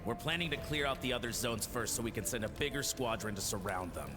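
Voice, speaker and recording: A man acting as a dutiful soldier with a medium-pitched voice reports crisply. He is callous and deferential, in dramatic game style, with long reverb over clear music. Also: animated, quick pace, natural voice. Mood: assured, callous.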